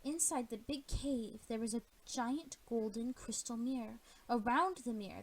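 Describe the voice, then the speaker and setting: A woman's voice: fairly high pitch, poor audio. A woman narrating an amateur audio play, reading scripted story narration.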